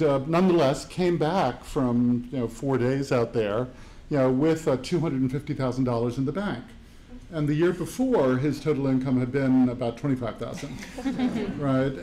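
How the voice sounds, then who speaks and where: A man moderates a panel in a campus event hall. His tone is mellow and rounded, and he talks haltingly, circling back with "sort of" and "you know" before he lands a point. His pitch sits in an ordinary middle range. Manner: halting, full of "sort of" fillers. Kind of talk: panel discussion.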